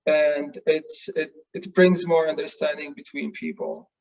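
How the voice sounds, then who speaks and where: A man's voice: a little high, flat delivery, measured pace, gravelly, darkish tone, distant mic, muffled recording. A man from Palestine, a nonviolent communication trainer, speaking earnestly with many hesitations and fillers. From Palestine, West Bank. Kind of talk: casual talk in an online session.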